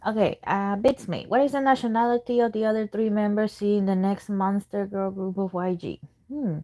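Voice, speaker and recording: A woman's voice: medium pitch, fairly even intonation, moderate pace, warm tone. A woman whose first language is Spanish, doing a chatty live tarot reading and answering questions from chat as she thinks aloud. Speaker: tarot reader.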